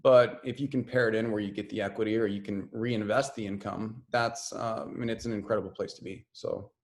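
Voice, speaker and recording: A man's voice: on the low side, fairly even intonation, brisk, darkish tone. A man giving earnest investing advice as a podcast guest, with frequent restarts, heard over a remote call. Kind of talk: casual interview chat.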